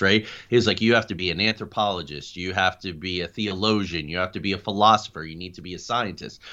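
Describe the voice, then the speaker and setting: A middle-aged man answering interview questions as a guest, explaining things in an animated, anecdotal way. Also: low voice. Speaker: interview guest, paranormal investigator and writer. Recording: dull, lo-fi audio.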